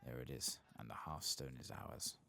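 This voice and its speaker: A man softly murmuring casual, unhurried ASMR commentary over a video game, sometimes whispering, close to the microphone. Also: a bass voice, steady tone, gravelly. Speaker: gaming ASMR streamer.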